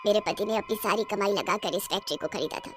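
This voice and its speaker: A woman voicing a vengeful, gloating witch in a cartoon horror story, taunting her captives with drawn-out threats. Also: medium pitch, a bit bright, soft background music, voice pushed down, lightly acted. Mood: bitter, vengeful. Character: vengeful, gloating female witch who runs a factory.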